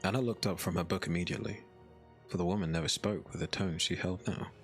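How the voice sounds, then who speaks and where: Deep, gravelly male fantasy narrator. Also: soft background music. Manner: measured, literary storytelling. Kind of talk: scripted dark fantasy audiobook narration.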